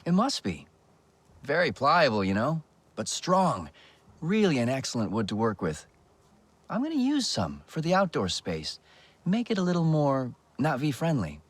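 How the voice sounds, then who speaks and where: A man's voice: mid-range voice, moderate pace, husky, no room sound. An actor playing a friendly, talkative male construction worker in a video game. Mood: enthusiastic. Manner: chatty, enthusiastic, animated. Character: friendly male construction worker, chatty and warm about wood. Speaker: builder who left the corporation.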